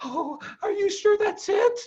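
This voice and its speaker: A man's voice: extremely high pitch, expressive, a little slow, a little mellow, highs cut off, voice pushed up. A man voicing an anxious, tearful mother fox, tender with the family, in a children's storybook reading. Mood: frightened. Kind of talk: children's storybook read aloud.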